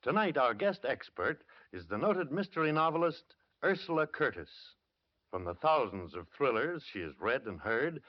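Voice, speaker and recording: A man hosting an old-time radio mystery show, giving a measured, formal introduction. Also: medium pitch, sing-song. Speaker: radio show host and narrator. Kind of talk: 1940s radio announcing. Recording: dull lo-fi old radio recording.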